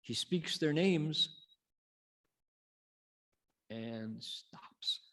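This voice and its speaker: A man reciting and teaching Buddhist scripture as a monk, in a measured, dramatic storytelling manner with pauses. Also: fairly high pitch, animated, fairly bright tone. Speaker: Buddhist monk, sutra lecturer. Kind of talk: sutra recitation and dharma lecture.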